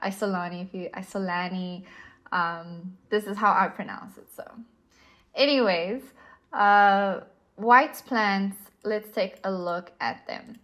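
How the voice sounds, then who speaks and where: Woman, South African accent, young adult, chess instructor, patient, slow-paced, mid-pitched. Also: a bit bright. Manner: patient, explanatory, with fillers. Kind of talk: explaining chess moves on a board.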